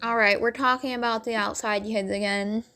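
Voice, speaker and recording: A woman with a raspy voice telling a rambling storytime, full of "like" fillers, over game footage. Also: a little high, fairly even intonation, moderate pace, sharp tone. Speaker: vlogger.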